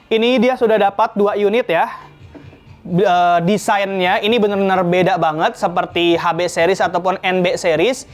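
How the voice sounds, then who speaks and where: A man presenting a casual promotional product review, chatting to viewers like friends. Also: high voice.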